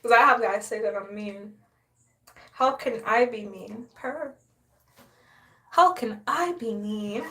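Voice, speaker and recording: A young woman from Haiti hosts her own live stream and chats in a relaxed, unscripted way. Her voice sits a little higher than most women's. She sounds sassy and boastful, playfully hyping herself up and breaking into laughs often. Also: slow pace, long pauses. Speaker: livestreamer. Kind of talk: casual live-stream chat.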